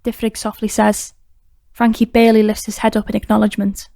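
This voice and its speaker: A woman's voice: medium pitch, completely flat delivery, fairly quick, gravelly, mellow, dry recording. A woman reading scripted narration for an audiobook drama.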